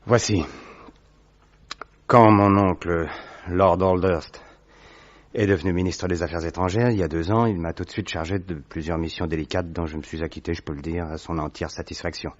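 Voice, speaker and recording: Guy playing a deep-voiced young diplomat getting over illness, polite and earnest, dry muffled sound. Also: steady tone, moderate pace, dry recording, natural voice. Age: 30s. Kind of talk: vintage radio drama acting. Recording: dry, dull old radio recording.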